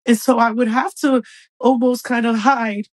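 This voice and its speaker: A woman's voice: a little high, steady tone, moderate pace. A woman in her thirties telling her personal story conversationally, with an earnest, emphatic manner, recorded in a room at home. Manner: earnest, emphatic, acts out remembered dialogue. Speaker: guest sharing personal testimony. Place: small furnished room at home, indoors.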